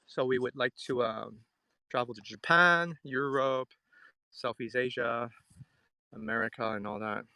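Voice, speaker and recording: Man, from Hong Kong, bright gravelly voice, casual live-stream chat, musing and conversational. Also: medium pitch, measured pace, no echo. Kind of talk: casual chat, answering viewer comments. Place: outdoors at a riverside.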